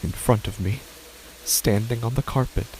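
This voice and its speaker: A man giving a tense, hushed first-person reading of a horror story. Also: mid-range voice, normal speed, slight background noise, poor audio. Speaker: narrator.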